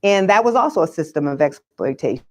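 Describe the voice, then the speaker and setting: A woman giving explanatory commentary in conversation, articulate and emphatic, with measured pauses. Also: low-pitched, animated.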